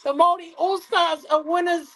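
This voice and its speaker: A woman hosting a live fan watch-along of a rugby league match, hoarse from shouting and out of breath, talking in excited bursts. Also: extremely high pitch, normal speed, low-quality recording. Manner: excitable, exclaiming. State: hoarse, lost voice. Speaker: fan channel host.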